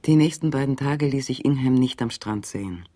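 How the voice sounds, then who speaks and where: Calm male radio-play narrator. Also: mid-range voice, slight background noise. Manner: calm, measured storytelling. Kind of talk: scripted literary narration.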